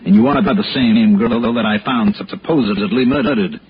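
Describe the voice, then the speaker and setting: A man's voice: on the low side, brisk, husky. A man acting as a tough, sardonic American investigator in a 1960s radio noir, clipped and wisecracking. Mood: sardonic, pressing. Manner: clipped, wisecracking, hard-boiled. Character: tough, sardonic male freelance insurance investigator. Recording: dull 1960s radio recording with music.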